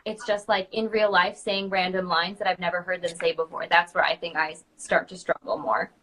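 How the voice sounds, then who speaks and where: A woman's voice: fairly low pitch, level tone, normal speed, a bit bright, low-quality recording. A young adult woman in a casual chat, sharing tips as a guest. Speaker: guest, princess party performer.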